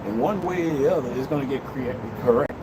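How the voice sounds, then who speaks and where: A man's voice: medium pitch, fast. Blunt man in his fifties, noisy balcony. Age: 50s. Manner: blunt, preachy, addresses viewers directly. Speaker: livestream host. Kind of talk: blunt opinion livestream monologue. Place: outdoors on a city balcony. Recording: loud outdoor street noise. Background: city traffic and outdoor noise.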